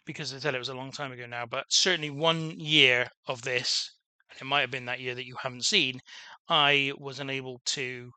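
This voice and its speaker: A man's voice: mid-range voice, steady tone, a little fast, pushed and bright, smooth, dry recording. A man casually commenting on his own gameplay as a channel host, rambling with many restarts and fillers, and calling his own voice dull. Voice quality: self-described dull voice. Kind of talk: casual let's-play commentary.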